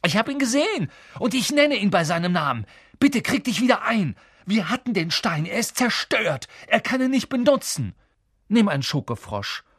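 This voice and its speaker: A man plays a bold, kind-hearted schoolboy from an audiobook. The voice is pitched up and lively, with a slightly husky grain. He speaks earnestly and with emphasis. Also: normal speed, dry recording, studio quality, heavily acted. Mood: insistent. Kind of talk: audiobook character voice.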